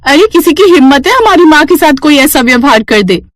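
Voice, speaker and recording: A woman voicing a haughty, scornful young daughter-in-law in a cartoon story, shrill and taunting. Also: expressive, fairly quick, no echo, clean studio sound.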